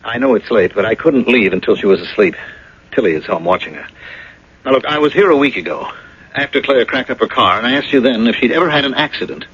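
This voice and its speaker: A stern, resolute husband in a radio drama. He is insistent and clipped, with a bright, hard-edged, slightly rough voice on a muffled old broadcast. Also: mid-range voice, animated, fast talker. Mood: urgent.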